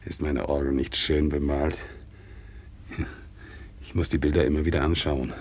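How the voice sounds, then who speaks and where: An actor playing a proud, dutiful one-legged war veteran who works as an organ grinder, speaking in a solemn, deferential way in a radio play. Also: male voice, a bass voice, level tone, moderate pace, a little mellow, a little hiss or hum. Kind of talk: 1960s radio play acting. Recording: faint music behind, older radio play recording.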